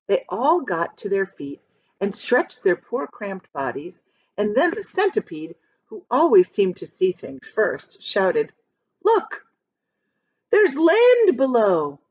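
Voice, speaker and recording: A woman teacher reading a children's story aloud in a deliberate, dramatic manner. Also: medium pitch, a little mellow, muffled recording. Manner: deliberate, dramatic, shows pictures to listeners.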